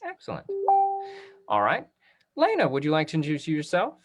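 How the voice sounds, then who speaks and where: A man's voice: a little high, huge pitch swings, a little fast, soft background music. A man moderating a casual discussion in an encouraging, affirming way.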